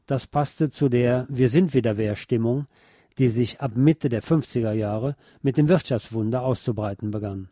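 A man reading a scripted documentary narration in a measured, articulate way. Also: medium pitch, dark tone, no room sound, studio quality.

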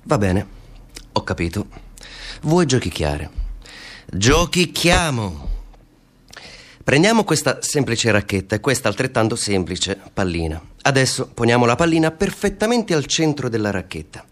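A man's voice: medium pitch, big pitch swings, measured pace. A cynical man of the law in a stage-like radio drama. His voice sits in the middle range for a man. He is mocking and impatient, with a theatrical, taunting delivery.

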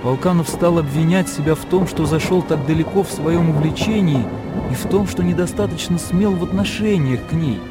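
A man narrating a literary radio drama in measured, expressive storytelling. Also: on the high side. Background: music.

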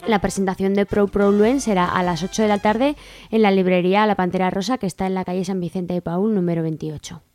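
A woman's voice: mid-range voice, steady tone, fairly quick. A woman reads event listings as a radio co-host. Faint music runs behind her.

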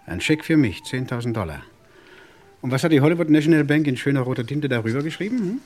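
An actor playing a menacing gangster boss in a German crime radio play, mock-friendly, condescending and threatening. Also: male voice, mid-range voice, very animated, quick pace, darkish tone. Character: menacing, sneering gangster boss owed money.

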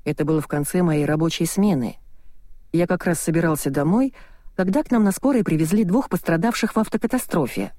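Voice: mid-range voice, brisk, warm tone, dry recording, clean studio sound, close to an everyday voice. A reader voicing a young English male doctor in an audiobook, grumbling and sarcastic. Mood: recounting. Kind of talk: audiobook dialogue reading.

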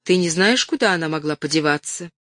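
A woman's voice: low-pitched, lively intonation, a little fast, fairly bright tone, dry recording, studio quality. A woman voicing a weary, irritated actress and mother who speaks with cold, controlled reproach in an audiobook.

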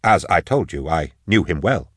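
A man's voice: deep voice, animated, normal speed, husky, no room sound, studio quality. A man reading the part of a brooding, impatient man in an audiobook, clipped and commanding. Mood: guarded, polite. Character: curt, brooding, impatient man, a customer of authority.

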